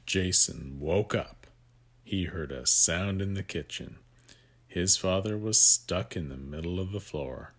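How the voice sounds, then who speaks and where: A man reading a picture book aloud to young children, with gentle asides that guide the listener. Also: deep voice, measured pace, breathy edge.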